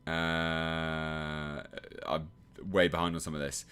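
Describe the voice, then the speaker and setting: A man's voice: a bass voice, flat delivery, takes it slowly, a bit bright, clean tone. A man from England, host of his own channel, chatting casually on a livestream and reading chat, self-deprecating and full of restarts.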